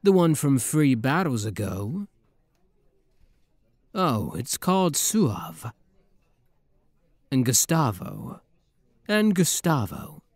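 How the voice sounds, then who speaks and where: A man's voice: medium pitch, sing-song, slow pace, long pauses, darkish tone, no room sound, clean studio sound. A man playing a playful, flirty demon prince with a teasing, theatrical manner in an intimate ASMR roleplay.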